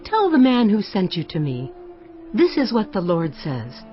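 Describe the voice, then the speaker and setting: A woman's voice: medium pitch, huge pitch swings, measured pace. A woman playing an authoritative prophet who declaims a solemn divine warning in a scripture drama. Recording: slightly dull audio with music.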